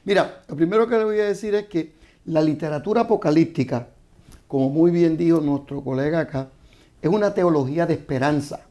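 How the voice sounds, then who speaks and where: A man, a guest author, explaining scripture in a measured, teaching way on a studio panel. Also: a little high, dark tone.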